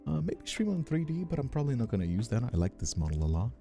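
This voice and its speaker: A man's voice: medium pitch, big pitch swings, rapid-fire, gravelly, dark tone, soft background music. A man chatting casually as a streamer, hesitant and often repeating his words as he goes. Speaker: streamer, host. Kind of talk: casual live stream chat.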